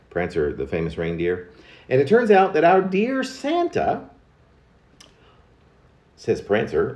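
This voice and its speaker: A man recites a humorous narrative poem with a lively, widely moving pitch. His delivery is wry and confiding, like a storyteller sharing gossip. The voice sits at a middle pitch in a noticeably echoey room. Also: muffled recording. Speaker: poet reading own work.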